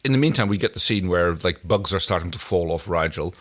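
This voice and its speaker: Man, lowish voice, casual recap chat, halting storytelling, dry remarks. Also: expressive, fairly bright tone. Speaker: podcast co-host.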